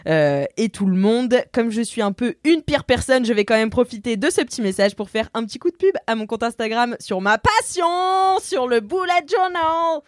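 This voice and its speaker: A woman's voice: fairly high pitch, expressive, moderate pace, hardly any pauses, no echo, studio quality. A woman hosting a casual podcast, chatty and laughing often as she reads listener messages aloud. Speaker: podcast host.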